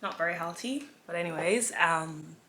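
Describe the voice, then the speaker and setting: Bubbly young woman with a bright voice. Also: lowish voice, moderate pace. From Nigeria. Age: 20s. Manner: chatty, giggly, animated. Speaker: influencer, vlogger. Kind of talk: casual sit-down Q&A chat.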